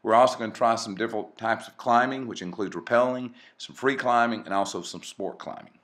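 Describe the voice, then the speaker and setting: A man's voice: medium pitch, fairly quick, a bit bright. A man, casually narrating a channel intro with many fillers and restarts. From Mississippi. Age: 40s. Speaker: channel co-host.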